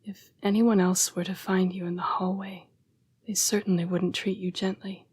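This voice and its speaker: A woman voicing an apologetic, protective yet predatory female vampire fighting her bloodlust in an intimate audio roleplay, with hushed, formal, halting speech. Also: mid-range voice, flat delivery, natural voice. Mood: grave, protective. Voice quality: breathy, whispery. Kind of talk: intimate scripted audio roleplay addressed to the listener.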